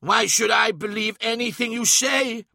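A man's voice: a little high, moderate pace, sharp tone, no echo, studio quality, heavily acted. A man playing a proud warrior lord, desperate, anguished and defiant, in a fantasy audiobook.

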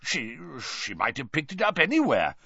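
A middle-aged male wizard official from a fantasy audiobook voiced by a narrator. He is hot-tempered and blustering, with a growly, hectoring delivery that swoops widely in pitch. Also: big pitch swings, moderate pace, no room sound, highs cut off. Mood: flustered.

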